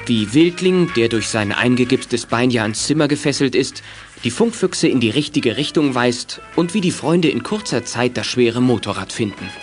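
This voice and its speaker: A man's voice: medium pitch, lively intonation, moderate pace, faint music behind, lightly acted. A man narrating a children's detective radio play as a scripted storyteller. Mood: matter-of-fact.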